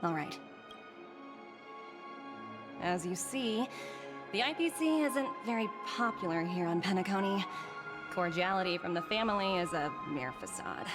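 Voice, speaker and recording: A woman's voice: fairly low pitch, expressive, unhurried, long pauses. A woman voicing a composed, businesslike young executive with a wry streak in an anime-style game. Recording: game music behind.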